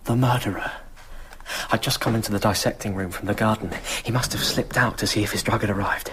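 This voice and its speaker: Man acting bright, tense, frightened household servant. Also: lowish voice, steady tone, fairly quick, slight background noise, lightly acted. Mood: fearful. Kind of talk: radio drama acting.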